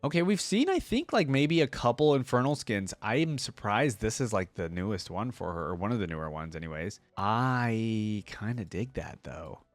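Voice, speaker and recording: A man's voice: on the low side, sing-song, moderate pace, few pauses, no echo, faint music behind. Casual man with a dry, close sound. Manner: conversational, thinking out loud. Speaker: video creator reacting to game lore and skins. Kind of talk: casual reaction commentary with some reading aloud.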